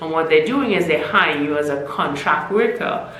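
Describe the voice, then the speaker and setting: Low-voiced Caribbean woman vlogging. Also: expressive, normal speed, fairly bright tone, reverberant, faint music behind, low-quality recording. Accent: Caribbean mix of Trinidadian and Barbadian. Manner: chatty, candid, self-deprecating. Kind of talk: casual talking-head vlog. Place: room in Mexico City.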